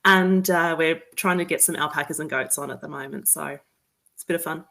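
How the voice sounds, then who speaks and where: A woman's voice: sits low, a little fast, gravelly, a bit bright. A chatty Australian woman, a guest author, talking casually and laughing often in a remote interview. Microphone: remote call audio.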